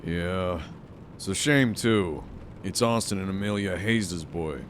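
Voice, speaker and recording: Formal school official, fairly low pitch, serious, reserved, audio drama, faint noise behind. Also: male voice, takes it slowly. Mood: somber.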